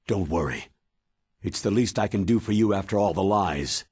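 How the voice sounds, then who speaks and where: An actor playing a weary, remorseful older military commander in a video game, earnest and grave. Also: male voice, sits low, moderate pace, darkish tone, breathy edge, no room sound, clean studio sound. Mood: resolute. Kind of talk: dramatic video game cutscene acting.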